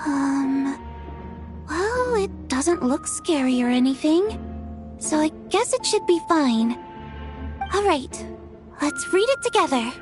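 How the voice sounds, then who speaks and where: Timid young theater girl: very high-pitched, faltering and polite, video game drama over clear music. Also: sing-song, takes it slowly, long pauses, full character voice. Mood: hesitant, nervous. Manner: hesitant, stammering.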